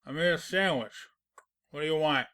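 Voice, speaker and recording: A man playing a teasing, sentimental, gentlemanly storybook narrator in a fan fiction audio drama. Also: a little high, moderate pace. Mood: sulky.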